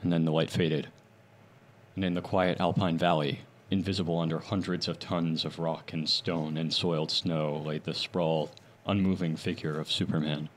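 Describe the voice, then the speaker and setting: A man's voice: rumbling low, little pitch movement, moderate pace, slight background noise. A man narrating an old-time radio adventure serial as its announcer, with a dramatic, declamatory delivery. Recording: dramatic music behind the voice. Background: music.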